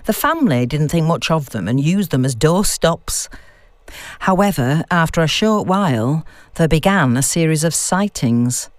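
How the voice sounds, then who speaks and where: A woman's voice: deep voice, lively intonation, normal speed, no room sound. A woman voicing a knowing, unflustered female academic who tells spooky tales in an audiobook story. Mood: eerie storytelling. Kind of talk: audiobook dialogue.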